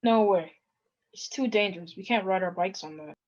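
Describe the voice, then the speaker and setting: A woman's voice: medium pitch, moderate pace, muffled recording, natural voice. A woman playing a timid but stubborn teenage boy at a table read of a script. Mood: wary, stubborn.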